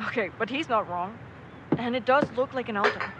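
A woman playing a playful, sardonic young friend with a teasing, expressive delivery in an audio drama. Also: on the high side, brisk, background noise, lightly acted. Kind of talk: audio drama acting, naturalistic.

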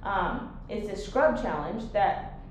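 A woman's voice: mid-range voice, expressive, slow pace, a little hiss or hum. A woman guest on a podcast who talks bluntly, swears often and laughs a lot. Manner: blunt, profane, frequent laughter. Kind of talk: casual podcast chat. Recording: very echoey room.

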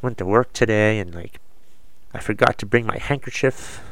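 A man's voice: lowish voice, normal speed, a bit bright, a little hiss or hum. A man with a cold, slightly congested, hosting his own podcast in a rambling, self-deprecating, casual way. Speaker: podcast host. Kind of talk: casual solo podcast monologue.

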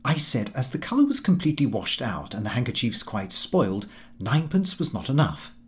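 A pompous, easily affronted middle-aged Victorian diarist, played by a man, reads out his comic journal. His mid-pitched voice swings widely up and down, while the delivery stays prim, precise and self-important. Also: very animated, fairly quick, natural voice. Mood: earnest, grudging. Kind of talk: scripted audiobook narration of a comic diary.

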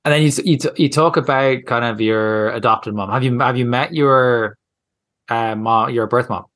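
A man's voice: medium pitch, normal speed, a bit bright. A man hosting a podcast, asking casual interview questions, hesitant, with many fillers and restarts. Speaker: podcast host.

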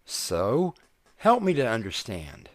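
A man's voice: medium pitch, wildly swooping pitch, slow. An actor playing an earnest young man, pleading with his fiancée and growing exasperated. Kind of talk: audio drama acting.

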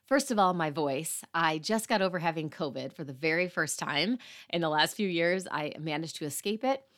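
A woman hosting a parenting podcast, slightly hoarse, talking earnestly with fillers. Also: low-pitched, normal speed, fairly bright tone. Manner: earnest, apologetic asides with fillers. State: slightly hoarse after illness. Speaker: podcast host. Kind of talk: podcast intro and interview.

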